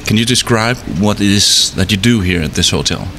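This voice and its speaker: A man's voice: lowish voice, a little fast, sharp tone, a little hiss or hum. A man interviewing a hotel worker in a hot hotel bar, asking curious, lightly teasing questions. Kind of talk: casual interview.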